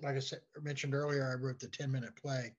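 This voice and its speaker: An American man chatting casually as an interview guest, telling anecdotes in a halting way and often repeating words. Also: medium pitch, fairly even intonation, breathy edge. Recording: dull, lo-fi call-like audio.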